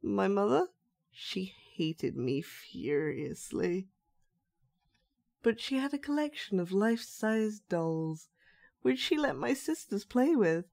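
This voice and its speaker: A woman playing a sinister, soft-spoken dollmaker with magic powers in a roleplay monologue, coaxing and condescendingly gentle as she addresses the listener. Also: mid-range voice, sing-song, takes it slowly, creaky, exaggerated voice. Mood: wistful, eerie.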